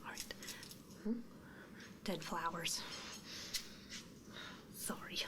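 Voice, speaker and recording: A woman performing an ASMR roleplay in a soft, breathy whisper, gently inquisitive and murmuring, close to the microphone. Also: lowish voice, fairly even intonation, drawn-out words. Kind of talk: whispered ASMR roleplay as a ghost removal technician.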